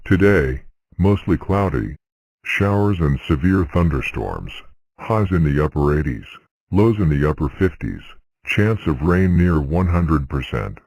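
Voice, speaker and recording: A synthetic man's voice reading a scripted weather radio forecast in a flat, measured, automated delivery. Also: very deep voice, no echo. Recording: dull lo-fi radio sound.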